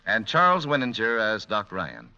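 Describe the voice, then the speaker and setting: A man's voice: on the low side, big pitch swings, normal speed, loud music behind the voice. A man announcing formally in the style of a 1940s radio programme. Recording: dull, lo-fi vintage radio broadcast.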